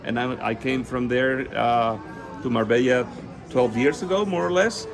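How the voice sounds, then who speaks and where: A man's voice: mid-range voice, normal speed. A man from northern Spain with a Spanish accent, explaining his work as an interview guest. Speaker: interview guest, garden designer. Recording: crowd and urban sounds behind.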